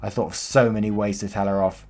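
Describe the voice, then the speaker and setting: Low-voiced man reading stories aloud. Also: level tone, quick pace, a little mellow. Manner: plain storytelling with offhand comments. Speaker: narrator of online stories. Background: faint background music.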